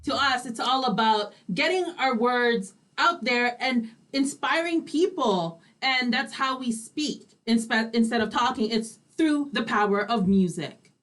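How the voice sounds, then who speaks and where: A woman's voice: a little high, expressive, a little slow, fairly bright tone. A woman hosting an online radio interview in casual conversation, encouraging and often affirming what she hears.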